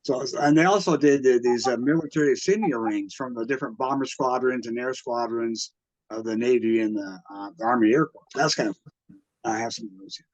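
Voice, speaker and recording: An American man in his sixties reminiscing casually in an interview, with a halting delivery that often backtracks. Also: mid-range voice, a little mellow, dry recording, highs cut off. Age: 60s. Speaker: radio collector and museum exhibitor, interviewee.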